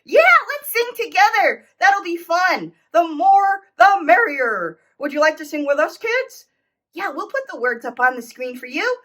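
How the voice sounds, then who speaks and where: A woman puts on an eager, friendly puppet pal for a children's show. The voice is high and bright, swooping around as it chats in a childlike way. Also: very animated, measured pace, full character voice. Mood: excited.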